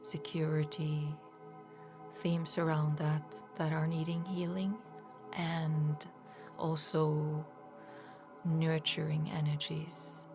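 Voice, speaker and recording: Woman, guided meditation, low creaky voice, soft breathy phrase endings, music behind. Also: flat delivery, slow pace. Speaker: energy healer leading guided meditation.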